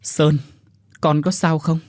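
A man voicing a frightened middle-aged mother who cries and pleads in a shrill voice for her son. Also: expressive, a little fast, a little mellow, no echo, clean studio sound, close to an everyday voice. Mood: worried.

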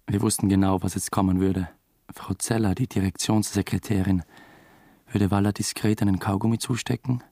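Flat, creaky-voiced young narrator. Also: male voice, lowish voice, moderate pace, no echo. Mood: wry, matter-of-fact. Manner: dry, understated, restrained. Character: diffident young male theatre dramaturg narrating his story. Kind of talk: first-person radio drama narration.

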